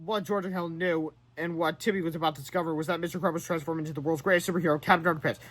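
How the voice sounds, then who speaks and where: A man narrating a children's book aloud in an animated way, stumbling and correcting himself now and then. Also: fairly high pitch, racing, no room sound. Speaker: storybook reader.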